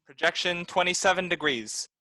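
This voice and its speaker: A woman's voice: fairly low pitch, moderate pace, sharp tone. A woman playing a stern artillery officer in a radio play, calling out clipped, firm commands. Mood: urgent, commanding. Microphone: video call.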